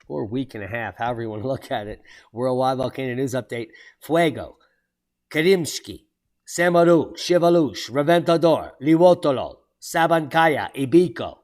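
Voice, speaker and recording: A man's voice: mid-range voice, animated, normal speed. A man hosting his own channel, reading weather and earthquake headlines aloud and breaking off for sardonic asides and sudden exclamations. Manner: exclaims loudly at surprising items. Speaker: channel host reading weather and geology news. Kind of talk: solo commentary reading headlines.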